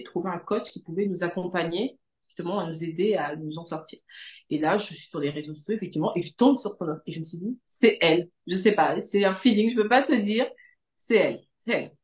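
A woman's voice: fairly low pitch, a little mellow. A woman in her forties giving a conversational personal testimony as a podcast guest, repeating phrases for emphasis. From Guadeloupe. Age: 40s. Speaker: podcast guest, coaching client. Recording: roomy, echoing sound.

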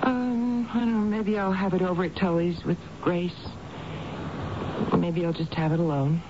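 An actress in a radio drama playing an anxious, fussing middle-aged suburban housewife with a tentative, solicitous way of talking. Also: female voice, lowish voice, steady tone, normal speed, close to an everyday voice. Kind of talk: naturalistic 1970s radio drama acting. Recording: noisy, dull old radio broadcast recording.

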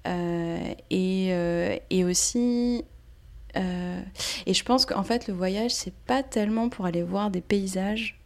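A woman's voice: mid-range voice, takes it slowly, a little mellow. A young French woman speaking thoughtfully in a casual interview, hesitating often with fillers and restarts. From France. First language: Portuguese and French. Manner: reflective, many fillers and restarts. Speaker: interview guest.

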